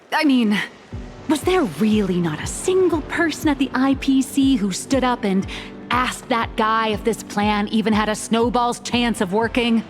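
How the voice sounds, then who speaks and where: A woman's voice: mid-range voice, close to an everyday voice. A woman playing a shrewd, wry sceptic who speaks in pointed, articulate video game dialogue. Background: game music.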